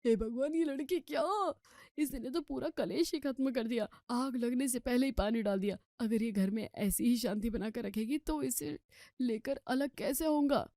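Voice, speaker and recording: A woman's voice: a little high, normal speed, dark tone, dry recording, clean studio sound, natural voice. A woman voicing a sulky, scheming young husband who complains with exasperation in a family story. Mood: scheming, frustrated.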